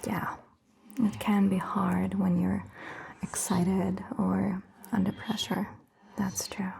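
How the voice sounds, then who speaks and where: A woman whispering softly and reassuringly in an ASMR roleplay as a calm, caring vampire doctor, close to the microphone. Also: medium pitch, slow, vocal fry, husky. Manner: soft whispering, gentle and reassuring.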